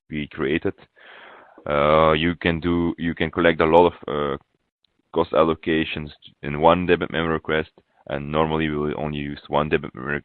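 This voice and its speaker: Very deep-voiced man on a thin phone-like line. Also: no echo. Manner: halting, pausing mid-phrase. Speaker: software consultant presenting a webinar demo. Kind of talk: instructional software walkthrough. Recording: thin, phone-like webinar audio.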